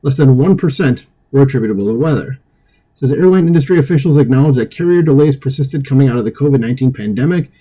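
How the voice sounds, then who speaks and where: A man's voice: medium pitch, expressive, quick pace, dark tone, husky, rough recording. A man reading a news article aloud at home and breaking in with sarcastic comments, stumbling over words now and then. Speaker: political commentary host.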